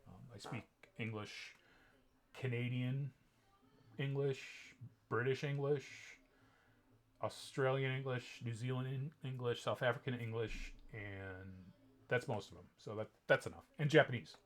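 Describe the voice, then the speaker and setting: A man chatting casually and ironically about books he picked up, deadpan and joking, with many pauses and restarts. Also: mid-range voice. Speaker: vlogger. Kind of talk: casual ironic haul chat.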